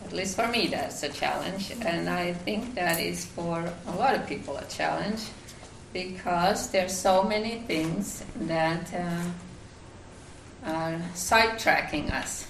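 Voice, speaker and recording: A woman with a Finnish accent gives a devotional talk at a retreat, pausing often with fillers and restarts. Her voice sits low and has a gravelly edge. She is recorded from across a reverberant room, with faint background noise. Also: far from the mic. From Finland. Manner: halting, many fillers and restarts. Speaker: retreat speaker.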